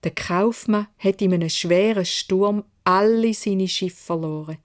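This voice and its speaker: A woman's voice: medium pitch, measured pace. Swiss German woman telling a fairy tale. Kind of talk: expressive children's story narration in dialect.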